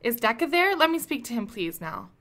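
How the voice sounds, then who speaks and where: A woman's voice: on the high side, sing-song, a little fast, fairly bright tone. A woman playing a commanding, protective woman in charge in a radio drama, firm and authoritative. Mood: alarmed, questioning. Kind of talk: radio play acting.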